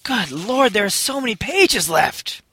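A man's voice: high-pitched, sing-song, a little slow, bright, forceful tone, husky, no echo. A man making casual, exasperated joking asides in a car, his throat strained and tiring. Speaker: podcast performer. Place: inside a car.

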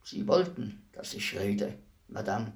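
An actor playing a despised, philosophical executioner in a radio play, speaking in a solemn, deliberate, measured monologue. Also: male voice, on the low side, lively intonation, vocal fry, heavily acted. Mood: calm, menacing. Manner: measured, grave, deliberate declamation. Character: despised executioner, calm, philosophical killer addressing his victim.

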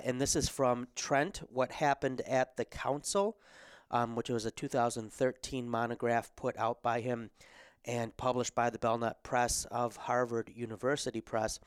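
A man delivers a recorded theology lecture from a studio, his voice slightly hoarse as he loses it. He talks haltingly, with many ums, restarts and cleared throats. The sound is dry and close, and his tone stays smooth and resonant under the strain. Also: medium pitch, dry recording. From America. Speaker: theology lecturer and podcast host.

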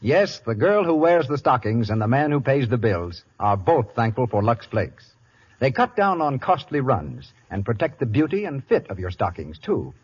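A man announcing a radio program in a smooth, formal, scripted delivery. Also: mid-range voice, very animated, normal speed. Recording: dull 1930s radio broadcast with faint music.

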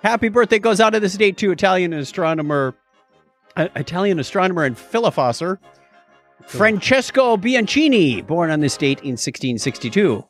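Animated, high-voiced man co-hosting a podcast. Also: faint music behind. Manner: playful, quick with quips and asides. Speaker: podcast co-host. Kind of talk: casual chat, joking banter.

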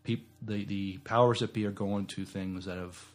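A man co-hosting a podcast in casual discussion, halting and often repeating the starts of words. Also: fairly low pitch, fairly even intonation. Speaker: podcast co-host.

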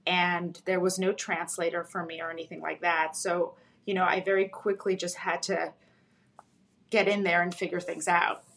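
A woman whose first language is Russian, speaking in a lowish voice. She talks as a guest in an unhurried, reflective way, often pausing with an um and letting her sentences trail off softly. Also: little pitch movement. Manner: thoughtful, with frequent ums and soft trailing ends. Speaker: guest, psychologist. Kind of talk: reflective personal storytelling.

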